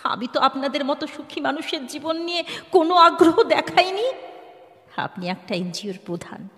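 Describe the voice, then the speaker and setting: Betrayed wife, despairing and bitter, high plaintive voice from an actress, audio-drama style in a reverberant hall. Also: female voice, expressive, moderate pace, a bit bright. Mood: resentful, anguished. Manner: plaintive, dramatic, rhetorical questioning. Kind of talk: Bengali audio drama acting. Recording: long hall reverb, music underneath.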